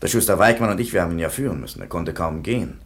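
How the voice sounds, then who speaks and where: Deep gravelly police commissioner, tired, dry and matter-of-fact. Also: male voice, lively intonation, racing. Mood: stern. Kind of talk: radio play acting.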